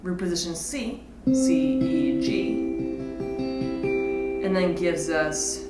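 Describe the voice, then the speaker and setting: A woman's voice: fairly low pitch, animated, takes it slowly. A woman giving an informal guitar lesson, explaining casually and thinking aloud as she goes. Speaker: guitar teacher. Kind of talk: informal instructional talk with demonstrations. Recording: roomy echo, guitar played alongside. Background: electric or acoustic guitar playing.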